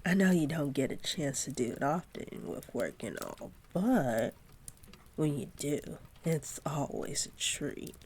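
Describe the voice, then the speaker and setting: A woman playing a sweet, doting centaur girlfriend in an intimate roleplay audio, speaking softly and affectionately to her partner with gentle teasing. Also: low-pitched, animated, measured pace, slight background noise. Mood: playful, affectionate.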